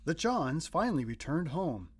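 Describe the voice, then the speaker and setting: A man reading a children's picture book aloud as narrator, in expressive scripted storytelling. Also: on the high side, normal speed, slightly rough.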